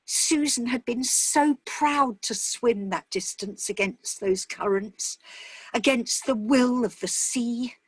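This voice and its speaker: A woman's voice: fairly high pitch, slightly rough, no room sound, low-quality recording. A woman reading a dramatic short story as scripted narration.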